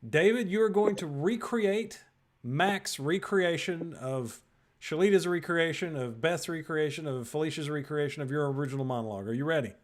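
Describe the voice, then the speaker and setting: A man hosting improv games over a video call, lively and quick with asides and frequent laughs. His voice sits fairly high. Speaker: improv show host.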